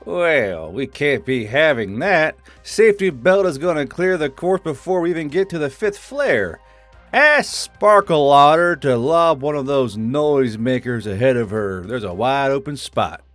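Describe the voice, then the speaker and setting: A man acts a gruff drill sergeant in an audiobook. His mid-pitched voice swings freely with dry amusement and casual authority, recorded close and dry over faint music. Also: lively intonation, unhurried, a bit bright, dry recording. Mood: amused command. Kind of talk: audiobook character acting.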